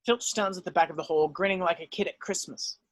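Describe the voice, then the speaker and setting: Higher-voiced man narrating a script, dry sound. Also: fast, dry recording. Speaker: stage-direction narrator.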